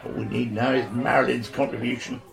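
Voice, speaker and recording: A man playing an old pensioner ringleader, bossy and chatty, who slips into rough slang. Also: medium pitch, flat delivery, brisk, a crowd in the background. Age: older.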